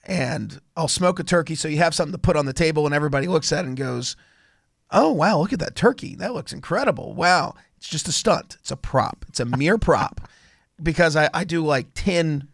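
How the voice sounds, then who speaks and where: A man's voice: on the high side, lively intonation, brisk, vocal fry, no room sound, clean studio sound. A man with laryngitis hosting a casual motorsport show on college radio, rambling and often restarting his sentences. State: hoarse from laryngitis. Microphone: studio microphone.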